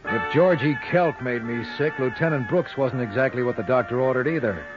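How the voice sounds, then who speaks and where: An actor playing a dogged, wisecracking lawyer-sleuth with a dry, insistent manner in 1940s radio style. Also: male voice, mid-range voice, fairly quick, over loud music, natural voice. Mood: wry narration. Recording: dull lo-fi old radio recording.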